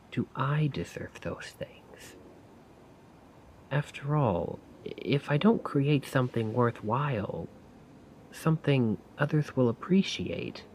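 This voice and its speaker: A man playing a weary, self-doubting failed poet in a soft-spoken, rambling ASMR roleplay monologue. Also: mid-range voice, a little hiss or hum, rough recording. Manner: slow, brooding, rambling. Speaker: roleplay audio performer.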